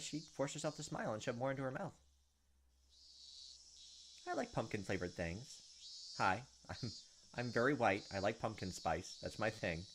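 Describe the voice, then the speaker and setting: Young man narrating over noisy ambience. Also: mid-range voice. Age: young adult. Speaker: gaming video host. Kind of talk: reading visual novel narration aloud, with casual asides.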